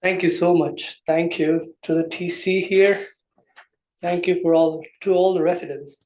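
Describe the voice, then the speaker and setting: A man's voice: a little high, steady tone, a little slow, darkish tone. Earnest man in an echoey chamber. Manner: earnest, thankful, plain-spoken. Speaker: departing township committee member. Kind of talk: farewell speech at a public meeting. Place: township meeting room.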